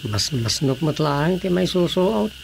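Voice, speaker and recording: A man's voice: fairly high pitch, very animated, a little slow, creaky, a little hiss or hum, full character voice. A man with an Ilocano accent acting in a radio drama as a practical villager, explaining things conversationally. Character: practical man planning to sell household goods.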